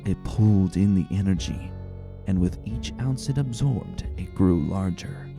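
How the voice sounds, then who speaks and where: A man reading a story as dramatic scripted narration, measured, deliberate and suspenseful. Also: low voice, creaky. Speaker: narrator of a fan fiction reading. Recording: roomy voice, faint music bed. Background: faint music.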